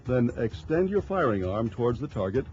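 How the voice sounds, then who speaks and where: A man narrates an instructional film in a relaxed, mellow voice of medium pitch. His delivery is formal and measured, explaining step by step. The soundtrack is dull and lo-fi like an early 1990s film, with music behind him.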